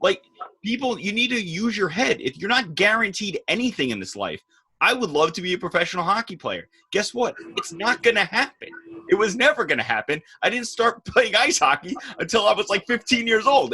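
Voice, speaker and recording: Bright, husky-voiced man lecturing bluntly. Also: sits high, animated, a little fast. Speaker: podcast co-host. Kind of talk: casual political chat.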